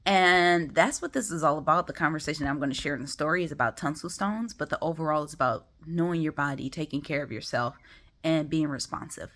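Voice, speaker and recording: Low-voiced congested woman chatting to camera. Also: fairly quick, a bit bright. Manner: chatty, rambling with many ums. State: congested, sniffling. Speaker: vlogger. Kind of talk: casual talk to camera. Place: home, just woke up.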